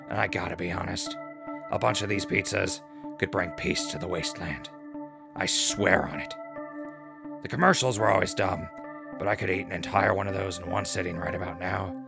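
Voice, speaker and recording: A man playing a wry wasteland radio host in an audio drama, dry in manner, with a gravelly voice. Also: low voice.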